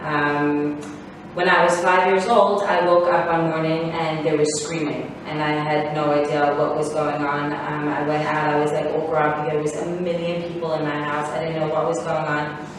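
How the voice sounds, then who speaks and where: A woman's voice: sits low, little pitch movement, moderate pace. A woman in her thirties, born in Israel and raised in South Africa, giving a rambling personal talk to parents with many fillers and restarts. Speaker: guest speaker. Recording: echoey hall from far off, faint noise.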